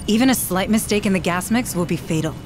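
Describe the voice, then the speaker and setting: A woman's voice: medium pitch, fast talker, music in the background, natural voice. An actress playing a level-headed, pragmatic female military officer in a video game, composed and businesslike. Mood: concerned, practical. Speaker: game character actor. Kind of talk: cinematic video game acting.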